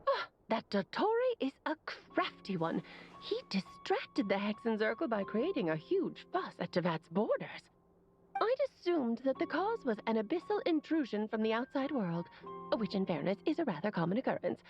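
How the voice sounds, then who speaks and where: A woman's voice: a little high, sing-song, moderate pace, highs cut off. A woman playing a clever, worldly sorceress in a video game, explaining things in an articulate, theatrical way. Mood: knowing, explanatory. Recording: thin audio with loud background music.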